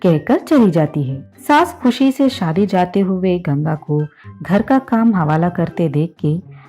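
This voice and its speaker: A woman's voice: lowish voice, expressive, takes it slowly, warm tone, faint music behind. A woman narrator reading a scripted storybook tale.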